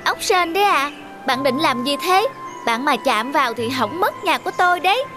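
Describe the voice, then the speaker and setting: A sensible spider in a cartoon. The voice is high, kindly and full of reassuring advice. Music sits behind it. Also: female voice, expressive, moderate pace. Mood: concerned, kind. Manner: advising, reassuring. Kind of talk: animated fairy-tale dub. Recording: music under the voice.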